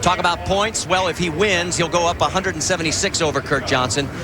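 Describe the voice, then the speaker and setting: A man calling drag races play-by-play, building to excited calls at the finish. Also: fairly high pitch, brisk, over music. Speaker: play-by-play announcer. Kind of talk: race commentary.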